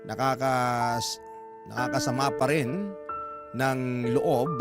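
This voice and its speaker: A man with a mid-pitched voice tells a dramatic first-person story as a radio drama narrator. His delivery is sombre and measured, set over loud instrumental music.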